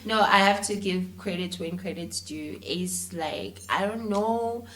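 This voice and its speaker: A woman with a South African accent and a slightly low voice. She chats informally and in an animated way as a guest in a relaxed interview, switching between languages. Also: unhurried, low-quality recording. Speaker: interview guest, filmmaker and content creator. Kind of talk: casual conversational interview, code-switching.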